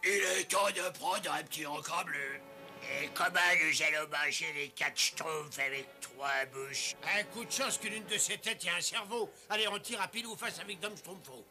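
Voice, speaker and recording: A man voicing a hungry, quarrelsome three-headed ogre in an animated cartoon, bickering and menacing. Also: on the high side, normal speed, soft background music.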